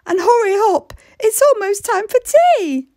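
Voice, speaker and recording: A woman's voice: very high voice, sing-song, measured pace, mellow, no echo, studio quality, voice pushed up, full character voice. A woman voicing a child's loving but firm mother in a storybook, in a reassuring, coaxing manner. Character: Ellie's gentle, loving, firm mum.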